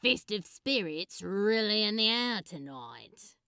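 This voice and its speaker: A woman acts out a lovestruck man in an audiobook romance, easily flustered and given to sarcasm. The delivery is halting and drawling, with very lively pitch swings, recorded close and dry. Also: vocal fry, smooth, no room sound, full character voice. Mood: sarcastic. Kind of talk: fan fiction audiobook dialogue.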